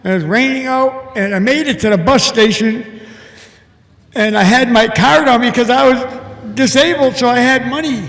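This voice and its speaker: An older man with a big, booming voice giving an earnest, halting personal testimony to a church congregation over a mic. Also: a bit bright. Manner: earnest, halting, emotional storytelling. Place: church hall. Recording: echoey hall with long reverb.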